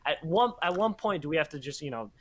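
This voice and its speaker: A man from the United States talking as a podcast guest in a halting, nervous way, often restarting his sentences. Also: a little high, lively intonation, fairly bright tone. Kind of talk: casual podcast conversation.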